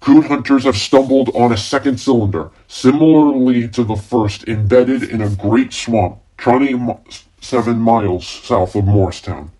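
A man playing an urgent radio newsman in a formal, halting 1930s bulletin style for a radio play. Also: mid-range voice, mellow, close to an everyday voice, rough recording. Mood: grave, urgent. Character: urgent male radio newsman reporting a Martian invasion. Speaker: radio news announcer.

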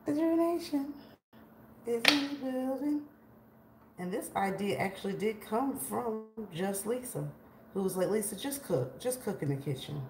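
A woman's voice: fairly high pitch, expressive, a little slow. A woman in her forties hosting a casual live cooking stream in a large home kitchen, chatting with viewers and greeting them by name while she cooks, away from a tripod camera. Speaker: cooking livestream host. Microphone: camera on a tripod, moving around it. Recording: echoey kitchen with faint room noise.

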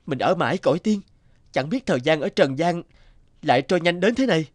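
A man's voice: on the high side, lively intonation, brisk, no echo. A man voicing a homesick young scholar in a cartoon, speaking in a courtly, archaic way. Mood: bewildered. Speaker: voice actor. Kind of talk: cartoon dubbing.

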